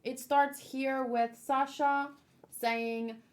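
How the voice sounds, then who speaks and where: A woman's voice: sits high, little pitch movement, drawn-out words. A woman doing a casual read-aloud with chatty, off-the-cuff commentary in an informal manner. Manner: informal, chatty, acts out dialogue lightly. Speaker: reader giving manga read-along and commentary.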